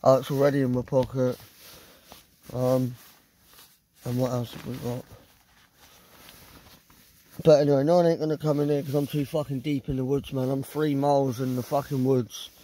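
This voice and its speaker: A man's voice: medium pitch, level tone, moderate pace, long pauses, mellow, a little hiss or hum, low-quality recording. A middle-aged man with a southern English accent, rambling bluntly and with plenty of swearing in a casual handheld vlog. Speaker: vlogger. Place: inside a small tent in the woods at night. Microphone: handheld phone at close range.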